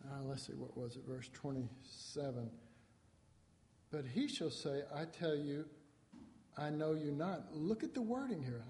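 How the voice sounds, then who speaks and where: A man's voice: on the high side, darkish tone, big-room sound. A man preaching a sermon, reading scripture and explaining it in a conversational way, acting out quotes with rising emphasis.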